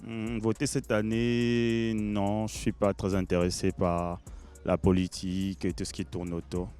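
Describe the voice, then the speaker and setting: A man's voice: lowish voice, completely flat delivery, measured pace, a little mellow, faint music behind. A man giving hesitant, indifferent answers in a street poll. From Gabon. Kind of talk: vox pop answer.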